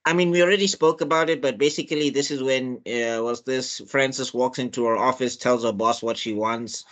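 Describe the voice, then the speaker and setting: Male co-host, loose rambling recap delivery, mid pitch. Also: steady tone, a bit bright. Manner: rambling, halting with many fillers and restarts. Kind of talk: casual chat.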